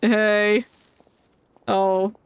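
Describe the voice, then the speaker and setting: A woman's voice: medium pitch, flat delivery, drawn-out words, smooth, no room sound. A woman game streamer giving casual, joking, irreverent live commentary. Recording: faint game music behind. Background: video game music.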